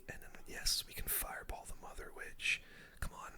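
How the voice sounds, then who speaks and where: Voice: normal speed, pushed and bright. A young adult streamer whispering casual, slangy gaming commentary in an ASMR style, close to the microphone. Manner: casual gamer slang, addresses viewers as dude and guys. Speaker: video game streamer.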